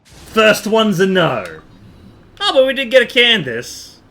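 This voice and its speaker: A man's voice: high-pitched, huge pitch swings, slow pace, gravelly, bright, forceful tone. A man streaming a game in casual chat, rambling and thinking aloud with the odd casual swear, over noticeable background noise. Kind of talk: casual live stream chat while gaming.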